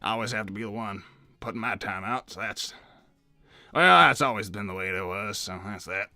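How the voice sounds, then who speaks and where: A man's voice: on the low side, moderate pace, vocal fry, bright, forceful tone, no echo, clean studio sound, faint music behind, heavily acted. A man voicing an old hedgehog uncle in a cartoon interview, hesitant and evasive, stammering and repeating himself as he rambles. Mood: wistful, evasive. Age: older. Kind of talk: improvised in-character interview.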